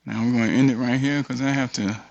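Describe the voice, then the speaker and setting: An American man casually thinking aloud through a screen-share software tutorial, reading bits of text and muttering asides to himself. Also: medium pitch, steady tone, fast, gravelly, no room sound, highs cut off.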